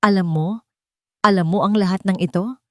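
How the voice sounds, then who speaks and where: A woman's voice: medium pitch, fairly even intonation, normal speed, a bit bright, no echo, lightly acted. Frustrated rich male lead voiced by a woman, audio drama, Filipino accent, blunt, urgent, dry sound. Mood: stunned. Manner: direct, earnest. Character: frustrated wealthy man, direct and urgent, seeking truth.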